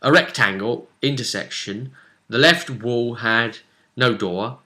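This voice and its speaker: A man with a bright, forceful voice and mid-range pitch talks through a role-playing session as its game master. He explains things casually and conversationally, often checking in with a tag question. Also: takes it slowly.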